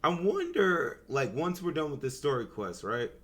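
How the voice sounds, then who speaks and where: A young man doing casual live reaction commentary as a game streamer, talking in slangy, animated bursts. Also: a little high, moderate pace.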